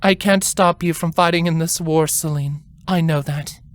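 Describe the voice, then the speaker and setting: A man voicing a caring but stern older sister, a pony princess, speaking softly and earnestly in a fan fiction story. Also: on the high side, steady tone, voice pushed up, lightly acted. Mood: kind, firm, sad. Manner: soft-spoken, deliberate, earnest. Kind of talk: fan fiction character reading.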